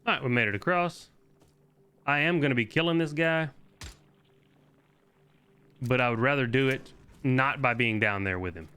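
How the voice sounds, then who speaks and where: A man's voice: medium pitch, moderate pace, pauses often, bright, forceful tone, clean tone. A man hosting a video game guide, giving casual, informal walkthrough commentary with the odd swear word.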